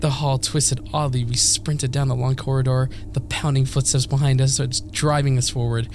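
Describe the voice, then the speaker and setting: Higher-pitched male streamer over game music. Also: flat delivery, moderate pace. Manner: chuckles, stumbles over words and corrects himself. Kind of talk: live game let's play, reading visual novel text aloud. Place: streaming setup indoors.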